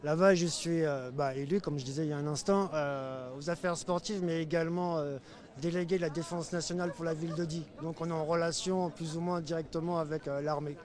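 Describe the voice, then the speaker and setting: A man's voice: fairly high pitch, fairly even intonation, a little fast, a little mellow. A man who is a local elected official, from France, answering a street interview casually with many fillers. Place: outdoors in a city.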